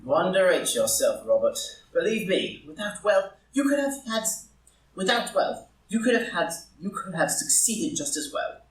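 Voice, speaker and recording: A distant, roomy recording of a man acting a witty, dandyish gentleman in a stage drama. He speaks in polished, urbane phrases, with lively swings of pitch. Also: moderate pace. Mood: urbane, wry. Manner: epigrammatic, urbane, formal. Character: languid witty English gentleman, dandyish loyal friend. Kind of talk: live group play reading. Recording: roomy echo, far from the mic.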